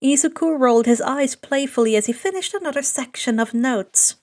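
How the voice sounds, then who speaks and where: A woman with a very high voice narrates fiction. She reads scripted prose aloud as an amateur audiobook narrator. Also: lively intonation, a little fast, darkish tone. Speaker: podfic narrator.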